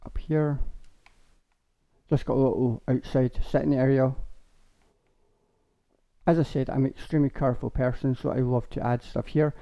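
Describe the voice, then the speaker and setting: A young man with a sore, hoarse throat, rambling casually and laughing as he shows off a house in a game. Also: medium pitch, fairly even intonation, moderate pace, long pauses. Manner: rambling, chatty, laughs a lot, says basically often. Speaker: gaming streamer. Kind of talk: casual Minecraft house tour. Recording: dull lo-fi stream audio.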